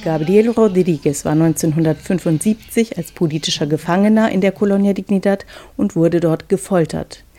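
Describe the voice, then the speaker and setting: The low, velvety woman's voice that carries a radio documentary from one interview clip to the next. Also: normal speed, few pauses, warm tone, soft background music. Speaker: radio reporter and narrator. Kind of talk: scripted documentary narration.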